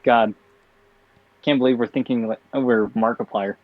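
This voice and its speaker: A young man co-hosting a casual chat show, talking in a tired, flat monotone with bursts of laughter. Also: mid-range voice, a little slow, no room sound. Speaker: podcast co-host. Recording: dull, dry lo-fi home mic.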